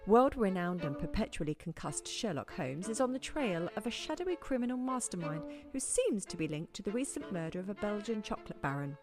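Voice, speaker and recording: A woman's voice: medium pitch, big pitch swings, fairly quick, hardly any pauses, natural voice. A woman playing the narrator of a comic radio drama, reading a dramatic scripted recap. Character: announcer-style story narrator, dramatic. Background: loud music.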